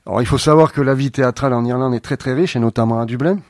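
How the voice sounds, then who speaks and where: A man guesting on a radio show, answering thoughtfully with frequent pauses and fillers. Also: mid-range voice, no room sound, clean studio sound. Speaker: playwright guest. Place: radio studio.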